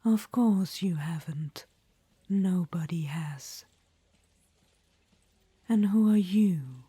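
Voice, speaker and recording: A woman's voice: fairly low pitch, very slow, warm tone, slightly rough, no echo, heavily acted. Woman whispering as a doting undead witch-queen. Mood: haughty. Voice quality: whispered throughout. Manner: fond, chatty, calls listener darling. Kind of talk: ASMR audio roleplay. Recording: dry close-mic whisper.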